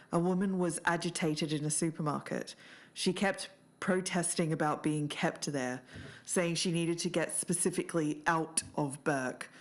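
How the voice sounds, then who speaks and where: A woman's voice: low voice, natural voice. A woman playing a composed podcast co-host, narrating strange cases in a conversational documentary style.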